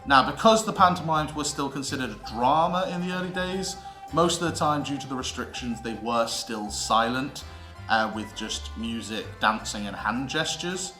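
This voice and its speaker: Bright, theatrical British man presenting. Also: medium pitch, animated, moderate pace. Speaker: presenter of a theatre history series. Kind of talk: scripted educational narration. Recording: roomy echo with music underneath.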